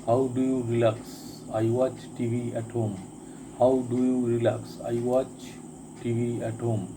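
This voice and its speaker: A man teaching a spoken lesson, reading each drill sentence twice with deliberate care. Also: medium pitch, flat delivery, background noise. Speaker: English teacher. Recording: crickets and insects chirping behind.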